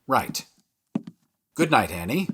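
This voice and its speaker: An actor playing a diplomatic, even-tempered male producer in an audio drama. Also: medium pitch, slow pace, background noise. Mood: calm.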